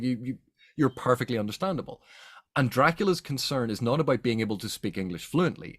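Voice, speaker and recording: A man with a Northern Irish accent hosts a literary discussion. His voice sits at a middling pitch and sounds very dry, with little room echo. He explains things emphatically, often repeating a phrase as he builds a point. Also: a bit bright. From Northern Ireland. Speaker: host of a book discussion.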